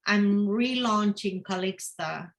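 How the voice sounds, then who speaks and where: A woman's voice: medium pitch, slow pace. A woman whose first language is not English, a guest author in a casual interview, often repeating and restarting her words.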